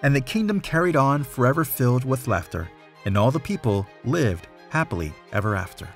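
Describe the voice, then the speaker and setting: A man reading a rhyming children's story aloud, in a measured, rhythmic storytelling way with clear emphasis. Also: medium pitch, expressive, soft background music. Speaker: storybook reader.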